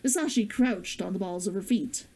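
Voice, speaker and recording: A woman streamer and author with an expressive way of talking, chatting to viewers and reading a story aloud. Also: mid-range voice, moderate pace, husky. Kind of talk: chatty greeting, then storybook read-aloud.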